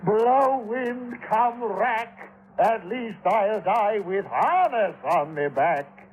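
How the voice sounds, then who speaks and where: An actor playing a pompous old Shakespearean ham, declaiming grandly in a 1950s radio drama. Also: male voice, sits high, expressive, extremely slow, lo-fi sound, slight background noise, full character voice. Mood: grandiloquent. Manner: theatrical declaiming, quoting verse.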